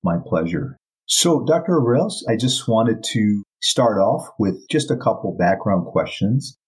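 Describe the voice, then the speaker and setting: Deep husky-voiced podcast host. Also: male voice, expressive, measured pace, rough recording. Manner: friendly, encouraging questions. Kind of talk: interview hosting.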